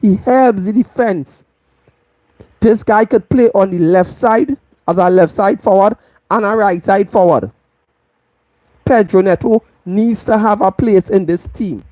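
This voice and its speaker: Trinidadian woman, football vlog host, unhurried and emphatic, dry close sound. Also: mid-range voice, mellow, no room sound, low-quality recording. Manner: emphatic, repeats points for stress. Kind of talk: live football match review.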